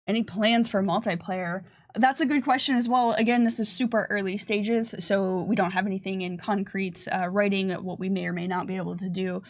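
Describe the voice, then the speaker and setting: Woman, creaky voice, mid pitch, chatty, upbeat, casual livestream presenter. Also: steady tone, a little fast, hardly any pauses. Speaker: game developer and livestream presenter.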